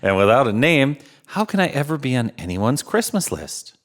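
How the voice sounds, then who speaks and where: A man's voice: mid-range voice, sing-song, normal speed. A man voicing a small, wistful, hopeful male teddy bear in an earnest, wondering way for a children's storybook.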